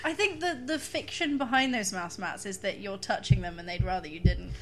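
A woman's voice: medium pitch, fairly quick, fairly bright tone. A woman co-hosting a casual chat, with dry, teasing remarks. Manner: dry, teasing, laughs often.

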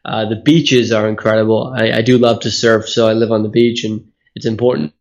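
A man's voice: on the low side, level tone, a little fast, a little mellow, dull audio. Earnest man explaining at length. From Hawaii. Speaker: guest, speaking coach. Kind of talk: reflective interview answers. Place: Australia.

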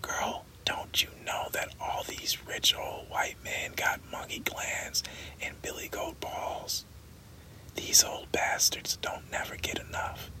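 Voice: takes it slowly, sharp tone, rough recording. A reader voicing a brash, bawdy young woman, whispered throughout. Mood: teasing. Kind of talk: whispered audiobook reading.

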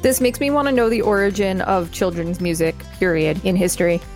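A woman with a medium-pitched voice co-hosts a podcast. She reads reference text aloud and chats about it in a casual way. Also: steady tone, a little fast, darkish tone, soft background music. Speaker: podcast co-host. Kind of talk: reading encyclopedia pages aloud with casual commentary.

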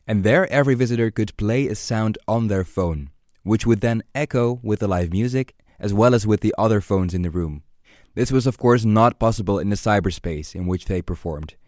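A male podcast host delivers scripted narration in a low, very mellow voice. The sound is close and dry, with hardly any room on it. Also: moderate pace, dry recording.

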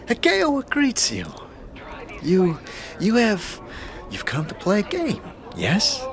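Fantasy humanoid gambler, sly, proud, very animated pitch, slow clipped broken phrases, audio drama, noisy backdrop. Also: male voice, exaggerated voice. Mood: sly, inviting. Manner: halting, repetitive, clipped broken syntax.

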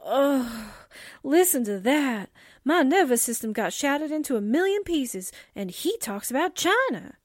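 An actress playing an excitable, wisecracking female sidekick in 1940s-style radio drama. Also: high-pitched, a little slow, dry recording, studio quality, full character voice. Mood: exasperated. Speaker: voice actor.

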